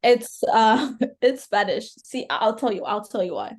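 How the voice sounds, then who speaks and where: A woman's voice: on the high side, sing-song, normal speed, a little mellow. A young woman from Rwanda, a native Kinyarwanda speaker, talking as a podcast guest in an earnest, thinking-aloud way. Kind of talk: casual conversation.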